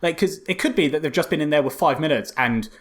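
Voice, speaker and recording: A man's voice: on the high side, fast talker. A man co-hosting a casual, jokey film discussion in a conversational way, repeating words as he thinks. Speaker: podcast co-host reviewing films.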